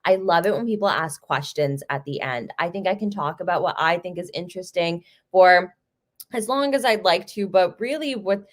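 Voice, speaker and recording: A woman's voice: lowish voice, normal speed. A young American woman from Chicago giving a conversational talk over a video call, telling personal stories. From Chicago, United States. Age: young adult. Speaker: guest speaker. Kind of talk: storytelling talk.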